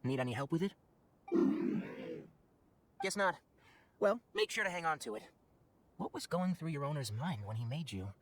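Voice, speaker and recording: A young, wry forest ranger in a video game. His voice sits fairly high for a male, with lively intonation and an articulate, matter-of-fact way of explaining. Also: normal speed, pauses often, a little hiss or hum. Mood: wry, curious. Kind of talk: video game character acting.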